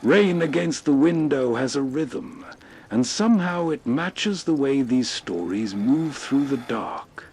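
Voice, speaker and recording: A man with a husky, breathy voice hosting with atmospheric scripted narration. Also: mid-range voice, lively intonation, slow pace, a little mellow, a little hiss or hum. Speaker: host.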